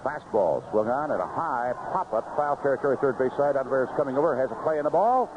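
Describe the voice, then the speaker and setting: A man's voice: mid-range voice, expressive, quick pace, muffled recording, noisy background. A man calling baseball play-by-play on 1970s radio in clipped phrases with vivid descriptive calls, on a band-limited old broadcast. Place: ballpark broadcast booth.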